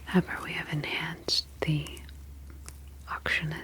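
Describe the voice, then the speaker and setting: A woman performs a calm ocean alien scientist for an ASMR roleplay. The voice is a slow, hushed whisper with very little rise and fall. The manner is formal and clinical, yet gently reassuring. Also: low voice, low-quality recording. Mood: soothing, formal.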